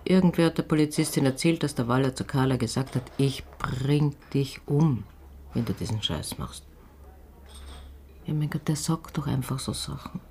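An actor playing a world-weary theatre colleague sharing secrets in a radio drama, confiding and sardonic in a deliberate way. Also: male voice, mid-range voice, darkish tone, slight background noise, lightly acted.

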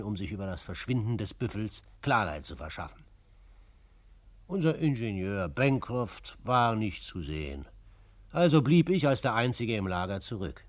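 An actor playing an adventurer who narrates his own frontier tale in a measured, literary, dramatic radio style. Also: fairly low pitch, no echo. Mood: matter-of-fact. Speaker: first-person narrator.

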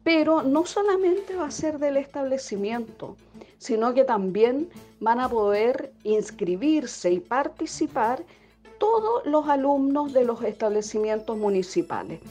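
A woman's voice: sits high, big pitch swings, unhurried, warm tone, faint music behind. A woman giving an interview statement as an education director.

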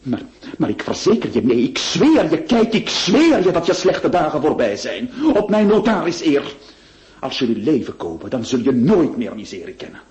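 Radio drama: wheedling, menacing notary, lively pitch swings. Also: male voice, normal speed. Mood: coaxing. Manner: theatrical, swinging from syrupy coaxing to gleeful threats. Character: scheming middle-aged male notary, wheedling yet menacing.

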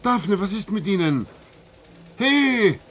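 A male ministry official in a radio drama. He is brisk and slightly wry, with a fairly high voice for a man. Faint noise sits behind the dialogue. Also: animated. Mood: alarmed. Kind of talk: German science-fiction radio play dialogue.

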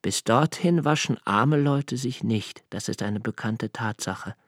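An actor playing a sensitive, impoverished young poet, speaking an introspective, deliberate monologue in a radio play. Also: male voice, medium pitch, dry recording, studio quality, lightly acted. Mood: proud, self-justifying. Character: sensitive, brooding, poor young foreign poet and diarist. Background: music.

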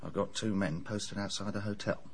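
An actor playing a blunt, businesslike police inspector in a radio drama. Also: male voice, fairly low pitch, steady tone, normal speed, vocal fry, slightly rough. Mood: determined. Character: brisk, dogged male police inspector.

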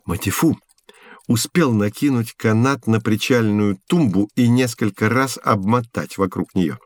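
A man playing a patient, learned science mentor who explains things in a measured, didactic way in an educational audio drama. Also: on the low side, dry recording, close to an everyday voice. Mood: explaining. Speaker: teacher figure.

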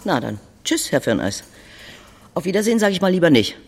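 A man playing a brisk, blunt hospital doctor in an audio drama, clinical and patronising in his way of talking. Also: on the high side, big pitch swings, vocal fry, very noisy. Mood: dry, dismissive. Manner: clipped clinical jargon, patronising.

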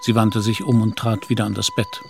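A man's voice: lowish voice, level tone, brisk, vocal fry, over music. A man narrating a literary radio play from a script.

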